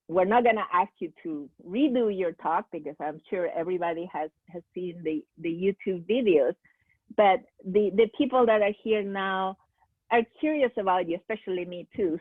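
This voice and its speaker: A woman from Peru hosting a question-and-answer session over a video call, speaking haltingly with many fillers and restarts. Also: lowish voice, no room sound. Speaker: seminar host. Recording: tinny phone-like call audio.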